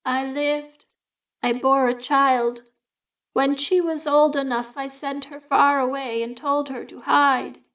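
A woman voicing the ghost of a young woman who speaks flat and unemotional, spoken through a psychic in an audiobook. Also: high voice, slow, distant mic, pitched above the performer's own voice, heavily acted, rough recording. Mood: cold.

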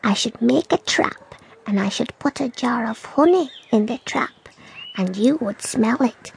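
A small, eager storybook pig, squeaking high with a heavily creaky edge. The little creature speaks slowly and earnestly, ordering its friend about. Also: female voice, animated, a little hiss or hum. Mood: eager, planning. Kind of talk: dramatised children's storybook acting.

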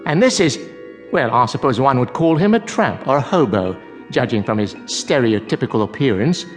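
An actor playing a sardonic clown in a radio drama, blithely resigned, with an arch, florid and mocking delivery. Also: male voice, mid-range voice, expressive, moderate pace, faint music behind, lightly acted. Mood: breezy, arch.

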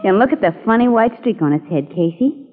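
An actress playing a lively, curious young sidekick in 1940s radio drama style, expressive and exclamatory. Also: female voice, mid-range voice, brisk, phone-quality sound, soft background music, lightly acted. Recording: noisy, thin, reverberant old radio recording.

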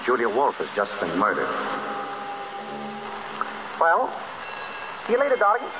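An actor playing a witty, teasing detective husband in a 1930s radio drama, with dry banter. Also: male voice, medium pitch, huge pitch swings, a little slow, muffled recording. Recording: scratchy 1930s radio broadcast with hiss.

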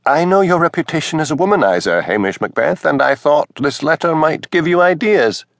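Guy doing a prissy fiftyish minister's wife, high and huffy, all over the place, in a dry audiobook read. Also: lively intonation, fairly quick, fairly bright tone, no echo. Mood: indignant. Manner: indignant and stiff, high-pitched. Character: prim, tweedy minister's wife in her fifties.

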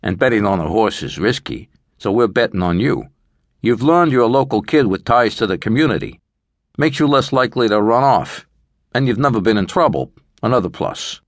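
A streetwise, middle-aged money lender with menace under a businesslike manner, acted out by a male narrator. He lays out terms in a measured way, with lively pitch, recorded close and dry. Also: no room sound. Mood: cool, businesslike. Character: calculating middle-aged male loan shark, cool and menacing.

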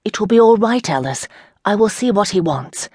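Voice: medium pitch, animated, normal speed, husky, no room sound, studio quality, pitched above the performer's own voice. A reader voicing a proud young noblewoman who answers with scorn and defiance. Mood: reassuring. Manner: scornful, raised and forceful.

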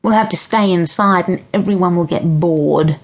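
A woman's voice: on the low side, moderate pace. A woman voicing a disappointed young girl in a storybook reading, sounding glum.

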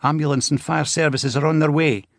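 A young woman at police control, played by a male audiobook reader. The voice is pitched well up, calm and collected, with polite efficiency. The sound is dry. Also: a little fast, gravelly, no echo. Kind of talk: audiobook character dialogue.

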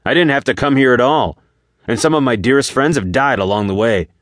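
A man's voice: mid-range voice, brisk, no echo, clean studio sound, pitched above the performer's own voice, natural voice. A man voicing an earnest, plain-spoken, determined hero in a fantasy audiobook, with informal phrasing. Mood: defensive. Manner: earnest, informal, hesitant at times. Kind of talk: audiobook character voice.

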